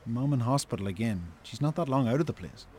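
An actor with an Irish accent playing a reproachful, level-headed brother in a radio drama, measured and blunt. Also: male voice, mid-range voice, animated, darkish tone, close to an everyday voice. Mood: concerned. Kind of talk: naturalistic radio drama acting.

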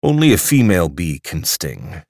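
A man's voice: low-pitched, big pitch swings, moderate pace, vocal fry, a little mellow, no echo, clean studio sound, exaggerated voice. A man voicing a sour, peevish male clubman of about fifty, sardonic and lazy.

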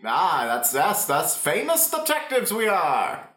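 A performer playing a folksy, bossy old-time detective with a halting drawl in an improvised radio play. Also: mid-range voice, huge pitch swings, fairly bright tone, full character voice. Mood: folksy, self-assured. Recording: echoey room.

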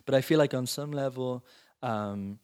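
A man's voice: medium pitch, normal speed, darkish tone, clear, resonant tone. A man in his thirties who grew up in Italy, talking casually as an interview guest, thoughtful and full of hedges. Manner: thoughtful, hedging with "you know" and "like". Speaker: guest screenwriter.

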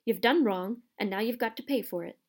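Woman voicing a gruff, growling male gamekeeper. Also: on the high side, a little fast, darkish tone. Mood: fierce, scolding. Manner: growling, rustic dialect with dropped word endings. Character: gruff, burly male gamekeeper, fierce but protective. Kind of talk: audiobook character voice.

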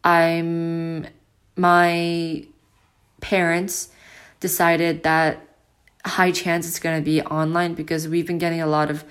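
Deep-voiced rambling teen girl. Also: monotone, slow pace, clean tone. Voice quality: deep, loud voice. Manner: rambling, filler-heavy, self-interrupting. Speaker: podcast host. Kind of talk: casual solo podcast. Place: at home with family.

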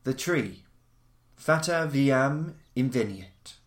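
A man's voice: mid-range voice, lively intonation. Man narrating gothic tales in echoey room. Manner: formal, measured, dramatic storyteller delivery. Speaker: podcast host and narrator. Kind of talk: theatrical scripted reading of gothic horror stories. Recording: roomy, echoing voice recording.

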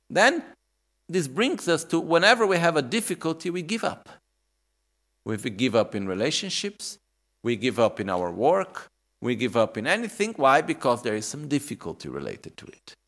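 A man in his thirties teaching on death and effort, speaking English as a second language with a reflective manner. His pitch rises and falls in lively swings, and he keeps checking in with his listeners. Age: 30s. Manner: reflective, repeats questions to the audience with "okay?" and "no?"; non-native English phrasing. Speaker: Buddhist teacher. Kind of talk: dharma talk.

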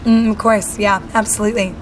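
A woman's voice: medium pitch, flat delivery, fast, a little hiss or hum, rough recording. A young woman singer-songwriter from Salt Lake City chatting casually in an interview, bubbly and full of fillers. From Salt Lake City, Utah.

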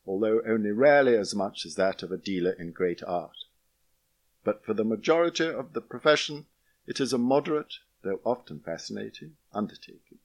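A man reading a scripted first-person memoir aloud in a measured, literary manner. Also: lowish voice. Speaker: audiobook-style reader of a memoir.

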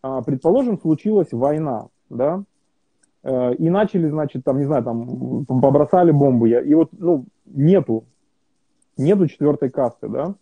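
Interview guest, male, husky voice over a tinny phone-like line, halting delivery full of fillers. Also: a little high, expressive, no room sound. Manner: hesitant, many fillers and restarts. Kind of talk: casual conversational interview.

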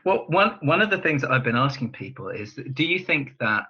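A man's voice: mid-range voice, sing-song, a little fast, husky, muffled recording. A man hosting a casual interview, putting his questions tentatively and rephrasing them as he goes. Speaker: interviewer, host.

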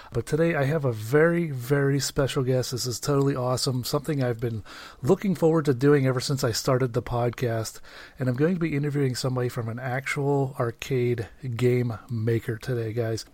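A man's voice: mid-range voice, no echo. A male podcast host with a mid-range voice, recorded dry and close. He sounds eager and upbeat and keeps calling his listeners guys. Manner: enthusiastic, keeps saying guys. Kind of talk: casual podcast hosting.

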